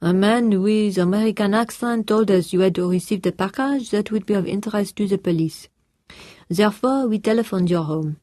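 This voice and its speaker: A woman performs a courteous French police officer on the telephone, speaking slowly with a put-on French accent. Her tone is mellow and unhurried. It sounds close and dry. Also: fairly low pitch, no room sound.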